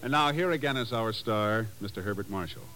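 A man's voice: on the low side, brisk, bright, forceful tone, soft background music, poor audio. A man announcing for 1950s network radio, reading scripted credits in a formal, polished manner.

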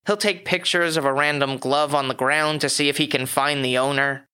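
A man hosting his own podcast, reading a scripted movie review with dry, wry asides, his voice a little hoarse from a cough. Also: on the high side, steady tone, normal speed, pushed and bright. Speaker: podcast host.

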